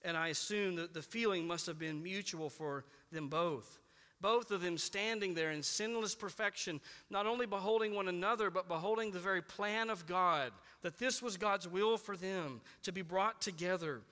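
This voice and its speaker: Male pastor, high bright voice, earnest sermon delivery, echoing sanctuary. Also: normal speed. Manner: earnest, building emphasis then dropping low on key words.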